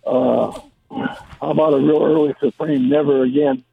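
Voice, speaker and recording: A hoarse man phones in to a radio request show, his voice gravelly and full of fry. He talks in halting bits with many fillers. The call comes through a tinny phone line with faint hiss behind it. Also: medium pitch, fairly even intonation. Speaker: caller.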